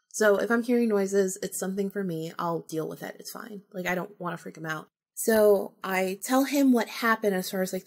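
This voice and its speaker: A woman with a mid-pitched voice hosts a podcast, telling a story from her own life in a loose, conversational way. She is animated and openly incredulous, and she swears freely as she goes. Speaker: podcast host. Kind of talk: casual personal storytelling.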